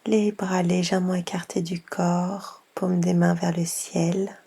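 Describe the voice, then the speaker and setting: Slow, breathy woman guiding meditation. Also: lowish voice, level tone. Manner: soft, breathy, half-whispered; unhurried, gentle instructions with long pauses. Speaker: yoga nidra guide.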